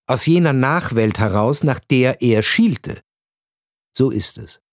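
A male narrator with a medium-pitched voice delivers scripted literary prose for radio. His phrasing is deliberate and measured, like an essay read aloud. The studio sound is dry, with next to no room on the voice. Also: lively intonation, no room sound.

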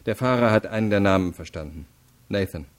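A male actor as a deputy prosecutor in a radio crime drama. His voice sits low. He lays out evidence deliberately, firm and matter-of-fact, never giving ground. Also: natural voice. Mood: firm, methodical. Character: methodical, unyielding male deputy prosecutor interrogating.

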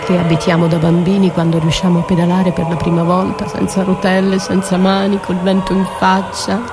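A woman's voice: lowish voice, monotone, natural voice. A woman performing a dramatic radio theatre monologue as a battered wife, wounded, accusing and defiant, with intense delivery. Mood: wistful. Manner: slow, intense delivery swinging from whispers to cries. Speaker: stage actress performing a solo monologue. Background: music.

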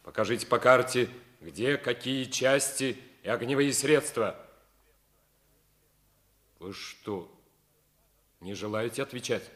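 An actor playing a stern, decisive Soviet fortress commander, curt and commanding, in a 1950s stage drama style. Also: male voice, medium pitch, slow pace, pauses often, fairly bright tone. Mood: impatient, commanding.

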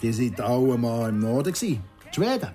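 A polite Swiss German stranger in a café, played by an actor, speaking tentatively in a soft-toned, mid-pitched voice. It is radio drama dialogue with quiet music behind. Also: male voice, big pitch swings, normal speed, natural voice. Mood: curious, courteous.

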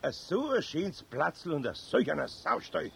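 An actor playing a good-natured, grumbling older master craftsman with a Bavarian accent in a children's radio play, husky and homely. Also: fairly high pitch, sing-song, a little slow, slight background noise, heavily acted. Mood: indignant, grumbling.

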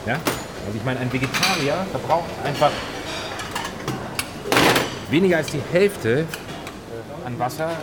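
An earnest, courteous expert played by a man with a mid-pitched voice. He explains a little hesitantly in a radio-play discussion, against loud canteen clatter of plates and cutlery. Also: expressive, measured pace, long pauses, heavy background noise, lightly acted. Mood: earnest, explanatory. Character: earnest, polite male expert advocating a frugal diet.

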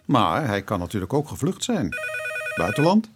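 An actor playing a commanding, dry police inspector in a radio drama, terse and authoritative. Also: male voice, lowish voice, very animated, normal speed, noisy background. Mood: rueful, thoughtful.

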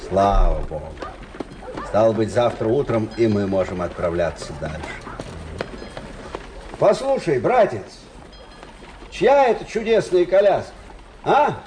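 Folksy old captain, very animated. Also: male voice, fairly low pitch, measured pace, long pauses, a little hiss or hum. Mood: curious. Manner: folksy, familiar speech with excitable outbursts. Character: kindly old army staff captain, folksy and excitable. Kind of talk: radio play acting.

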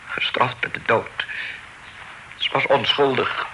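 An actor playing a bitter, sorrowful man in mourning in a vintage radio play, subdued and halting. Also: medium pitch, close to an everyday voice. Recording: noisy old radio drama recording.

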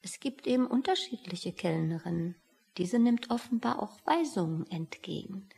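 A woman's voice: mid-range voice, animated, normal speed, creaky, dark tone, breathy edge, exaggerated voice. A woman acting a questioning, wry character in a radio play, with a probing, dry way of talking. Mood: wry, doubtful. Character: questioning, wry woman waiting outside a meeting.

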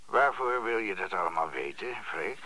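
Sharp, brisk, low-voiced tradesman. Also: animated, exaggerated voice. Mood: wary, blunt. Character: brisk, knowledgeable, cautious trade colleague. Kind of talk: radio play acting.

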